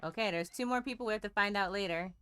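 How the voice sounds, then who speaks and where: A woman's voice: mid-range voice, quick pace, a bit bright, slight background noise. A woman giving casual, wry and playful commentary as she plays a game. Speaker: game streamer.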